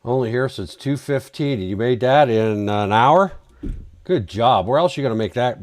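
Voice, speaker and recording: A man's voice: mid-range voice, expressive, normal speed, a little mellow, dry recording, studio quality. A man hosting a live trading stream, chatting casually and answering viewer questions while he reads charts, his voice getting worn out. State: losing his voice. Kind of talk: casual live market commentary.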